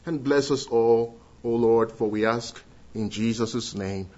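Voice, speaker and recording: A middle-aged man from Ghana with a Ghanaian accent, giving an earnest church testimony and leading prayer, with pauses and restarts. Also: mid-range voice, a little mellow, dull audio. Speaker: guest speaker giving testimony.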